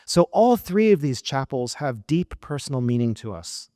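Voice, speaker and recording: A middle-aged American man giving a formal keynote speech at a gala, with an earnest, emphatic delivery and measured pauses. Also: mid-range voice, big pitch swings, dark tone, no echo, clean studio sound. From United States. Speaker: keynote speaker. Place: event hall.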